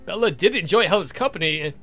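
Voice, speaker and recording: A woman's voice: on the low side, expressive, quick pace, a bit bright, breathy edge, soft background music. A woman hosting a let's play, reading a visual novel aloud with irreverent, sweary casual asides.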